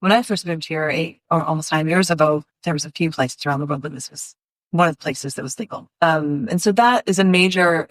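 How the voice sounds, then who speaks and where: A woman with a notably low voice hosting a casual interview. She talks with enthusiasm and rambles, often breaking off and restarting her sentences. The sound is dry and close. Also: no echo. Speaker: host.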